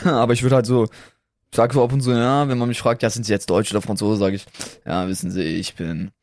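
A man's voice: mid-range voice, steady tone, fairly quick, darkish tone, no echo, studio quality. A young man chatting in slang as a podcast co-host, jokey and ironic. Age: young adult. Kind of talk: casual chat with slangy banter.

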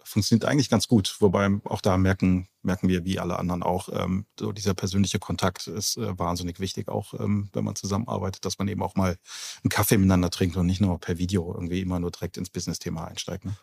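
A man's voice: sits low, flat delivery, quick pace, creaky, a little mellow, breathy edge. A middle-aged man answering as an interview guest, casual and reflective, feeling his way through phrases. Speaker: interview guest, company founder.

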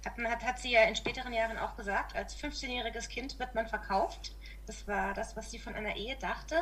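Woman, podcast co-host, quick and passionate, bright, light background noise. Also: fairly high pitch. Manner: fast, passionate, expressive talk. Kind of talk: casual history podcast chat.

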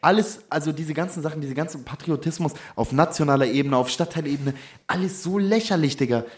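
Man, guest on a kitchen-table podcast, fast slangy talk with frequent restarts, voice a bit high. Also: slightly rough. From Hamburg. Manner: animated, slangy, restarts often. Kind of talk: casual chat.

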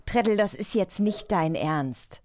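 A woman's voice: medium pitch, expressive, slow, darkish tone, a little hiss or hum. A woman co-hosting a podcast, chatting casually with a playful, teasing way of talking. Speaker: podcast co-host. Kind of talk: casual chat.

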